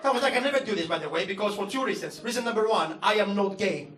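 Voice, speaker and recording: High-voiced Greek-accented comedian ranting on stage. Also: male voice, quick pace, distant mic. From Greece. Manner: animated, swearing ranting delivery. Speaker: stand-up comedian. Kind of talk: stand-up comedy set. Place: comedy club stage, audience laughing. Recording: roomy live venue with crowd noise.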